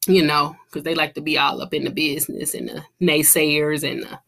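A woman's voice: sits low, little pitch movement, normal speed, vocal fry, a bit bright. Chatty woman in her thirties, podcast host. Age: 30s. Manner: chatty, runs on with fillers like "you know" and "like". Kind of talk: casual podcast chat.

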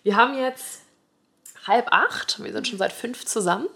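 A woman's voice: on the high side, normal speed, vocal fry, a bit bright. A young woman co-hosting a podcast, chatting casually and mixing lots of English slang into her talk. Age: young adult. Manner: mixes English slang into German. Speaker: podcast co-host. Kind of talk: casual chat between friends.

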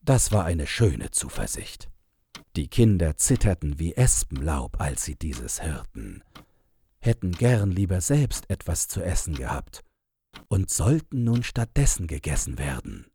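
A man narrating a scripted fairy tale in a measured storytelling manner. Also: sits low, big pitch swings, mellow, slight background noise.

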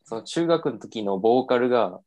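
A man's voice: medium pitch, animated, normal speed, darkish tone. A young man casually telling a self-mocking story on a podcast, with lots of fillers.

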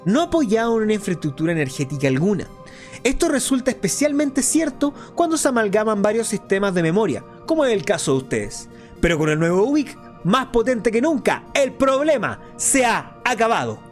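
A man playing a conspiratorial, musing pirate radio host in a radio drama, delivering theatrical monologues full of dramatic pauses. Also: high-pitched, big pitch swings, faint music behind. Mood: salesmanlike.

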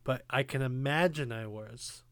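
A man co-hosting a podcast, teasing his friends with deadpan jokes in casual chat. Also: medium pitch, unhurried, smooth, dry recording. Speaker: podcast co-host.

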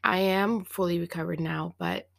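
Slightly hoarse woman with vocal fry. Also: fairly low pitch, moderate pace, fairly bright tone. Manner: chatty and slangy, many fillers and small laughs. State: slightly hoarse after illness. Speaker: podcast host. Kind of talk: casual solo podcast chat.

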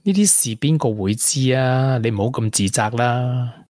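An actor playing a supportive, sensible young boyfriend in a Hong Kong Cantonese radio drama. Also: male voice, mid-range voice, measured pace, a little mellow, dry recording, clean studio sound, close to an everyday voice. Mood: concerned.

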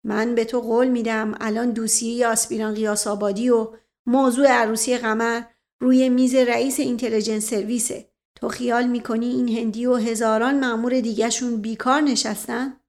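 A woman's voice: on the high side, flat delivery, darkish tone, close to an everyday voice. A woman voicing a self-important, paranoid older uncle, pompous and grave, in a storybook reading. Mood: scornful, certain.

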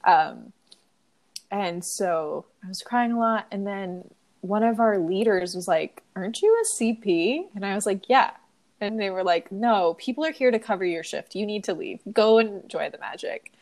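A woman's voice: medium pitch, moderate pace, a little mellow. A young woman co-hosting a podcast, telling a story in a chatty, filler-heavy way and sniffly from crying. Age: young adult. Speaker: podcast co-host. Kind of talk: casual chat, storytelling.

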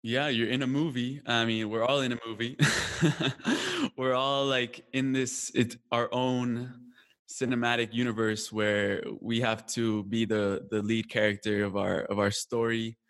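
A man's voice: mid-range voice, measured pace, fairly bright tone. A young man from Colombia, a guest in a casual conversation, reflecting openly, often restarting his sentences. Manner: frequent restarts and fillers, laughs at himself.

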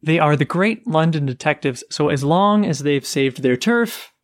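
A man's voice: fairly high pitch, animated, normal speed, no room sound. A man co-hosting a podcast, casually retelling a book's plot with energy. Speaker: podcast co-host. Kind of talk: casual book discussion.

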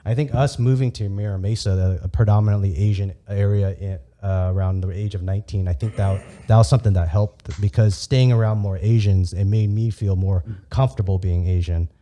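A young adult man raised in the United States, his first language Vietnamese, talks in a casual podcast chat. His voice sits low and sounds mellow and relaxed. He speaks candidly and heatedly, swearing freely. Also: a little fast, hardly any pauses.

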